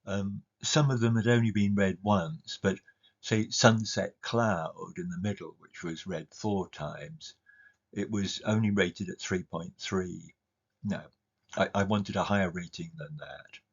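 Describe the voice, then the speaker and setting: A man's voice: sits low, unhurried, husky, muffled recording. An older man, an English teacher, giving an informal recorded slide talk in a hesitant manner, often repeating words as he explains.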